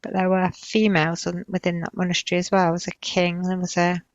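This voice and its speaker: Low-voiced woman, hesitant and drifting. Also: monotone, quick pace, no room sound, lo-fi sound. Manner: hesitant, drifting, searching for words. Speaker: client recounting past-life visions in a guided session. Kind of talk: spontaneous narration in a trance session.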